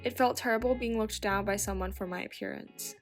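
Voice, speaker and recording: A woman reads accounts aloud in an earnest, steady voice. Her pitch is middling, and faint music sits behind her. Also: fairly quick. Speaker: narrator reading submitted stories. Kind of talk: scripted reading.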